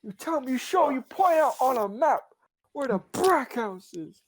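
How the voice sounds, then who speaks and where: A woman acts out a fixated, bigoted gang biker. He is loud and insistent, hammering out broken-English demands. The pitch leaps around, and the sound is close and dry. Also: big pitch swings, normal speed, no echo. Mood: demanding.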